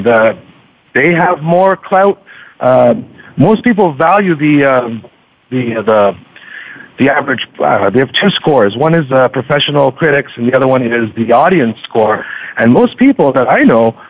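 A man talking as an interview guest in a conversational, halting way, often repeating words mid-sentence. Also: medium pitch, dry recording. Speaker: podcast guest, book reviewer. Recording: dull, lo-fi radio audio.

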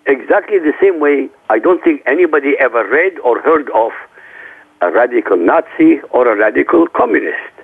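Middle-aged man from Iraq, native Arabic speaker, gravelly, insistent talk show guest on a phone-quality line. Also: medium pitch, animated, normal speed. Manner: emphatic, lecturing.

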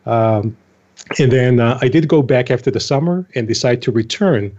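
An older man from Israel, a guest in an interview, telling his story in a relaxed way with fillers and small laughs. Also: medium pitch, normal speed. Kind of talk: interview conversation. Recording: dull lo-fi audio.

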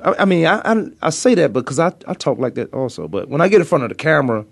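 A man with a Virginia accent hosting a casual sports talk show, arguing his points emphatically and repeating himself when excited. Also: a little high, quick pace, creaky, dry recording.

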